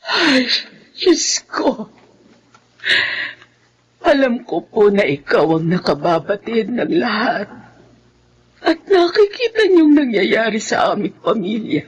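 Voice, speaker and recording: An anguished, devout grandmother in a radio horror drama. The voice is husky and slow, pleading and trembling with wide swings in pitch. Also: big pitch swings, exaggerated voice. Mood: tearful, praying. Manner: tremulous, pleading, drawn-out.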